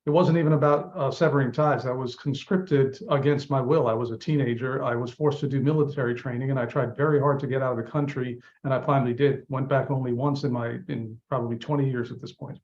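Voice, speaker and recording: A man's voice: mid-range voice, fairly even intonation, fast talker, hardly any pauses, darkish tone, lo-fi sound. A man from Lebanon, a campaign manager, answering interview questions earnestly and explaining at length. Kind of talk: interview guest.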